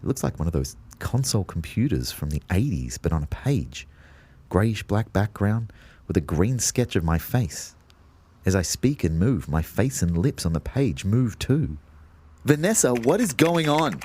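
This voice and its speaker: A man's voice: low voice, darkish tone. An Australian actor playing a confused, exasperated ghost of a man who narrates his own story with wry disbelief. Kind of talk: first-person audio drama narration.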